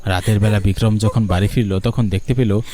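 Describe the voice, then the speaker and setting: A man's voice: on the low side, fairly even intonation, fairly quick, warm tone, a little hiss or hum. A man reading scripted story narration as a storyteller.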